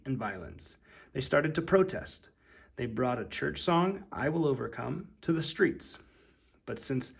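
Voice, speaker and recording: A man reading a children's picture book aloud as narrator, with deliberate, emphatic phrasing. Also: medium pitch, creaky, husky.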